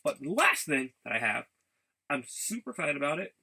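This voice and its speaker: Gravelly, bright-voiced man chatting casually. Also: fairly high pitch, big pitch swings, slight background noise. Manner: halting, repeats words and backtracks often. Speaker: vlogger showing game and figure pickups. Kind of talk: casual rambling vlog chat.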